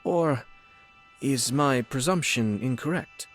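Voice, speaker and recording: An actor playing a courteous, subtly sly young lord in a video game, speaking in formal, measured phrases. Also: male voice, mid-range voice, faint music behind. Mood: smooth, probing. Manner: formal, polished, measured wording. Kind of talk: English video game voice acting.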